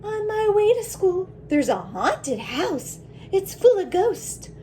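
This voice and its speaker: A woman voicing a brave, imaginative little schoolgirl in a picture book, with dramatic, emphatic, drawn-out delivery. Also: very high-pitched, big pitch swings, mellow, a little hiss or hum, voice pushed up, exaggerated voice. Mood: spooky, defiant.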